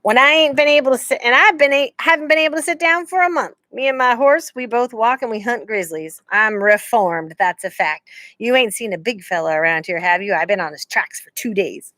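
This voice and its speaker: A woman's voice: a little high, fairly quick, bright, forceful tone, no echo, pitched above the performer's own voice. Storybook outlaw, big reformed road agent with a drawl, read by a woman. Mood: amused. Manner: drawling, folksy bad grammar. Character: big gruff male ex-outlaw, folksy drawl.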